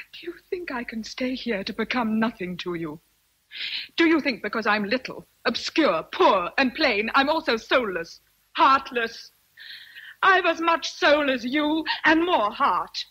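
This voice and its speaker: Breathy, high-voiced British governess. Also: female voice, a bit bright, poor audio. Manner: earnest, restrained, intense. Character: proud, passionate young governess, plain and independent. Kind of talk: period radio drama acting.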